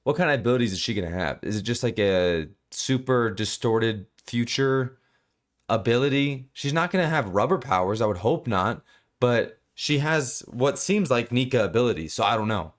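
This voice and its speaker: A man giving casual, unscripted commentary as a reviewer, rambling and halting as he goes and often repeating himself. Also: mid-range voice, lively intonation. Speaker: manga chapter reviewer.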